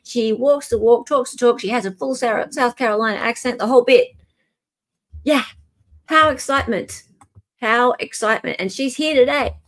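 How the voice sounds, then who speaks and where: A woman's voice: a little high, moderate pace. A woman hosting a casual livestream, gushing and repeating words for emphasis. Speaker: livestream host.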